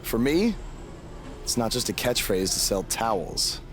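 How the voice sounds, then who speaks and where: A determined rising pro wrestler in a game cutscene. He speaks plainly and earnestly at a medium pitch, with some noise behind him. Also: very animated, unhurried, fairly bright tone. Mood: earnest, resolute. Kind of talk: video game cutscene acting.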